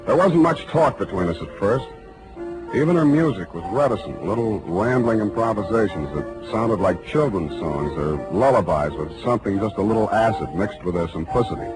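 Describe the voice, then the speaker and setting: An American actor playing a tough, wry private detective narrating a 1940s radio crime drama in clipped style. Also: male voice, fairly low pitch, lively intonation, normal speed, close to an everyday voice, rough recording. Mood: wistful. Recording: old 1940s radio broadcast, music behind. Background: music.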